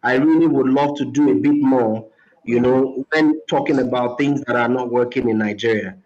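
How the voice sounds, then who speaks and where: A man moderating a casual group discussion, measured and leaning on "you know" as he frames his questions. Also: mid-range voice, darkish tone, highs cut off, slight background noise.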